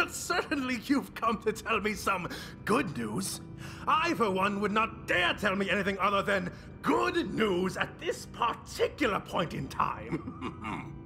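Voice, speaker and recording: A grandiose villain boss for a game, in an extremely high voice with wild swings and a gravelly creak. He is pompous and sarcastic, with a seething temper. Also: very animated, slow, full character voice, poor audio. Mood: manic false cheer. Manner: pompous, grandiose, mock-delighted. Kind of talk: cartoonish video game cutscene acting. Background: music behind the voice.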